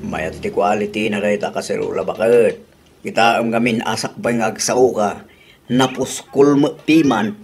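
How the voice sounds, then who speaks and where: A man's voice: on the low side, steady tone, moderate pace, a little hiss or hum, lightly acted. Man playing a chuckling thrifty husband. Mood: defensive. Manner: chuckling, defensive. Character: thrifty husband proud of bargains. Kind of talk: radio drama acting.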